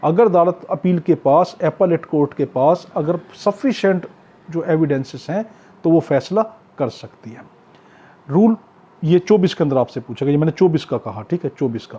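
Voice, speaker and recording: Pakistani guy giving an exam prep lecture, husky mellow voice, asks then answers himself, muffled lo-fi sound. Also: fairly high pitch. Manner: didactic, poses questions then answers them, repeats key points. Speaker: law tutor. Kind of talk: exam-prep lecture, explaining statutes point by point.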